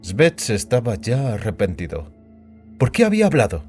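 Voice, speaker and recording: A male narrator with a fairly low voice reads scripted fiction dramatically. His intonation is very animated. Soft music runs behind him. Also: normal speed.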